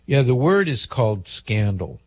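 Husky, nasal man hosting call-in radio. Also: mid-range voice, big pitch swings, a little slow, no echo. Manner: conversational, explains at length with fillers. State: head cold, a little nasal.

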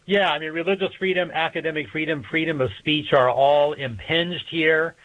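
Measured male academic guest, dull sound. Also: a little high, fairly even intonation. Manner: hesitant, measured, pausing between phrases. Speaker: guest expert, political science professor. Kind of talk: interview answers, explanatory. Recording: dull lo-fi remote radio connection.

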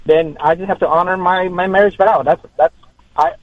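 A man's voice: sits high, steady tone, moderate pace, no room sound, narrow, tinny audio. A man from Vietnam, calling into a radio show and speaking hesitantly, with many restarts. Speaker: caller. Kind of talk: call-in radio conversation.

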